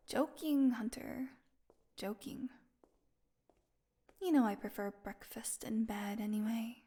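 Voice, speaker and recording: A woman playing a weakened, hungry female vampire in an intimate audio roleplay, sarcastic and teasing, with a breathy voice that often drops into a whisper. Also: on the high side, dark tone. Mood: weary, teasing. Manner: sarcastic, breathy murmurs, drawn-out weary delivery.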